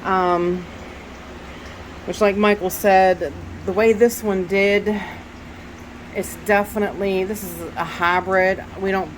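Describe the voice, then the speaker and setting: A woman with a Southern US drawl gives an unhurried walk-through of her plants, pausing often with fillers and restarts. A head cold leaves her voice stuffy. An air conditioner hums steadily behind her on the noisy phone recording. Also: medium pitch, fairly even intonation. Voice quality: nasal when bending over. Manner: chatty, rambling with many fillers and restarts. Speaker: orchid grower giving a plant tour. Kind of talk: casual walk-through vlog narration.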